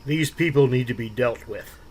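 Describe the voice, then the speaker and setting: An actor playing Bob, a devoted but menacing husband, in a calm, unhurried radio drama performance. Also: male voice, mid-range voice, a little hiss or hum, natural voice.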